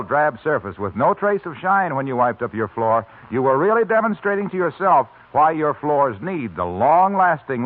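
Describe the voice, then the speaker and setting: A man announcing in 1940s radio style, with chatty, persuasive salesmanship. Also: on the high side. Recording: narrow-band vintage radio recording.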